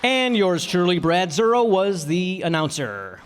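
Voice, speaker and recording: A male announcer delivers radio-show cast credits in a high voice with lively swings of pitch. The hall is reverberant, with an audience audible behind him. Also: a little slow, a crowd in the background, noisy background.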